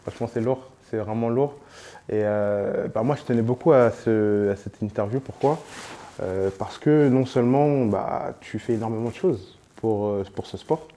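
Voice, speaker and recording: A man's voice: fairly low pitch, moderate pace, warm tone. A man hosting a casual interview, asking questions in an informal, slangy way with many fillers. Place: on location in a sports venue.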